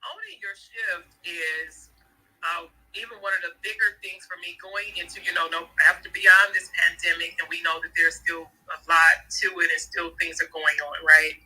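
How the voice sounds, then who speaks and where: A woman in her forties with a loud voice, an author giving a motivational interview answer with rhetorical call-and-response questions, heard over a phone. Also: lowish voice, fairly even intonation, moderate pace, breathy edge. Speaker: author guest. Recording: tinny phone line.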